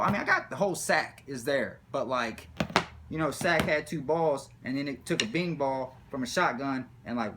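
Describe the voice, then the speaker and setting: A man telling a comic personal story in a rambling, slangy, profane way with animated retelling. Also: mid-range voice. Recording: background noise, room ambience.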